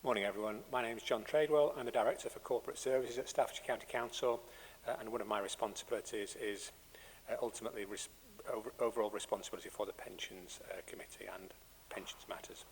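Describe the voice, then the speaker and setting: An English man, a council director, introducing himself briskly and correcting himself as he goes. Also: lowish voice, steady tone, a little hiss or hum, low-quality recording.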